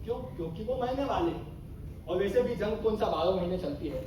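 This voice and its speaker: A man's voice: high-pitched, lively intonation, brisk. A young man playing a hot-headed, defiant army captain in an amateur stage play, declaiming his lines. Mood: defiant, brooding. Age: young adult. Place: stage in a hall, distant microphone. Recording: echoey hall from far off, noisy.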